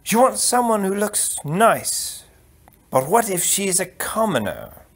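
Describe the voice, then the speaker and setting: Man playing a measured, scheming courtly count. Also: sits high, big pitch swings, slightly rough, pitched above the performer's own voice, heavily acted. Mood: probing. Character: smooth, scheming courtly count, deferential to his prince.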